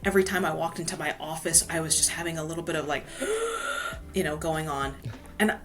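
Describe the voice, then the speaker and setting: A woman's voice: sits low, big pitch swings, fairly quick, fairly bright tone, faint music behind. A woman from Hawaii, a guest author telling her story in a casual podcast interview, filling her talk with "you know". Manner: chatty, many "you know" fillers.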